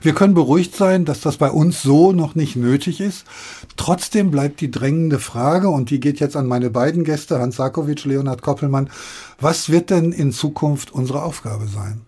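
A man's voice: on the high side, moderate pace, warm tone, slightly rough. A man hosting a live radio programme, moderating and addressing his guests. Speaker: radio host.

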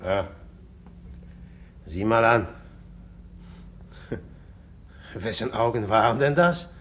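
An actor in a German radio play, playing a brooding, volatile man who speaks haltingly and guardedly, repeating his words. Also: on the low side, lively intonation, slight background noise. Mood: guarded, irritable.

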